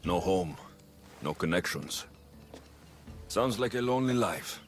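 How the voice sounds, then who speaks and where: A confident Greek mercenary hero, speaks with wry, low-key assurance. His intonation moves freely up and down. The dialogue comes from a video game cutscene, with music under it. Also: lively intonation, measured pace. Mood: cool, probing. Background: background music.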